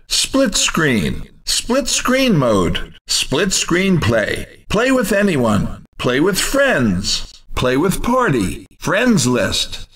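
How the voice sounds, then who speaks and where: An excitable arcade racing game commentator with a wildly swooping, forceful voice. He draws out short, theatrical calls very slowly, with a teasing edge. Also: medium pitch, breathy edge, far from the mic, full character voice. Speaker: video game race commentator.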